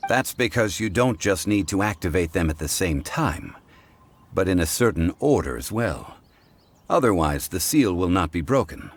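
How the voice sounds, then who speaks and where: An actor voicing a calm, composed male consultant in a video game, speaking in a measured, formal way. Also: deep voice. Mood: steady, measured. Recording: game score playing faintly.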